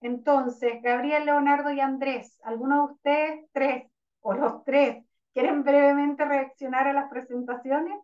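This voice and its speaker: A woman's voice: high voice, fairly even intonation, a little slow. A woman moderating a virtual panel, hesitant and full of restarts. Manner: hesitant, many fillers and restarts. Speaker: webinar moderator. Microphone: video call. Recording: distant, dull lo-fi call audio.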